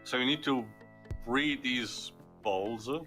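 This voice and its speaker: A man's voice: medium pitch, slow, bright, forceful tone, faint music behind. A man joking and teasing as a co-commentator during a casual let's play. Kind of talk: casual gameplay banter.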